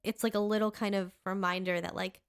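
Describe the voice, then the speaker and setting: A woman's voice: medium pitch, level tone, fairly quick, clean tone. Congested woman chatting on a podcast. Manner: chatty, rambling with many fillers and restarts. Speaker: podcast co-host. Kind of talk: casual chat.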